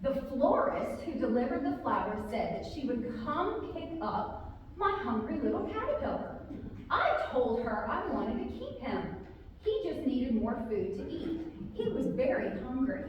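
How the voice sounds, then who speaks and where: A woman author speaking and reading a children's story aloud to a group, with expressive, drawn-out emphasis on key words. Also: high voice, lo-fi sound. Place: large reverberant room, live community gathering. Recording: very echoey hall, distant, faint noise.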